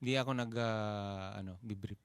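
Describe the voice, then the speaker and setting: A man's voice: lowish voice, fairly even intonation, moderate pace, clean tone, no echo. A Filipino man co-hosting a radio show, telling stories playfully and joking with laughter in his talk. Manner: jokey, laughs as he talks. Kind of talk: playful comic storytelling.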